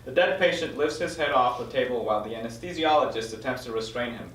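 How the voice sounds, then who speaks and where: A man's voice: mid-range voice, fast talker, fairly bright tone. A man reading stage directions as the narrator of a screenplay table read. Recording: echoey room, distant mic, faint noise.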